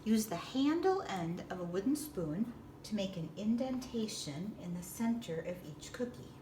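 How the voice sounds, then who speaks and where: A woman with a medium-pitched voice explains practical steps in simple, deliberate phrases. She sounds set back from the mic in a very roomy, echoing space. The delivery is that of a demonstration. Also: animated, warm tone. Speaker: librarian giving a baking demonstration. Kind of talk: step-by-step instructional narration. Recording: distant roomy echo with background noise.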